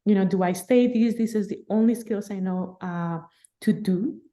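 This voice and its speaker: Lively woman from Guatemala, in her thirties. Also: mid-range voice, moderate pace. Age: 30s. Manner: lively, laughing, animated storytelling. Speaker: podcast guest. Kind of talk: casual storytelling interview.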